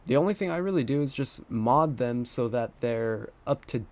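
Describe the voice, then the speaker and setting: A man with an average-pitched voice chats as a podcast co-host. His delivery is loose and informal, like talk among friends about games. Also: animated, moderate pace. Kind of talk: casual chat about video games.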